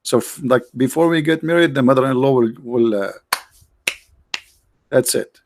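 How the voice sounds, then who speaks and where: A man's voice: medium pitch, moderate pace, darkish tone, a little hiss or hum. A man hosting a livestream, talking casually and sarcastically, with many restarts and fillers. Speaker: livestream host.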